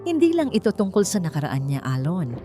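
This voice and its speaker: A woman's voice: on the low side, huge pitch swings, a little fast. A woman narrator plays a cold, authoritative lady doctor in an audiobook drama. She is commanding and accusatory, and music plays behind her words. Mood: cold, stern.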